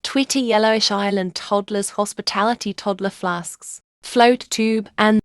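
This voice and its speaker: A woman's voice: mid-range voice, fairly even intonation, unhurried, heavy background noise. A woman speaking in garbled, nonsensical strings of words.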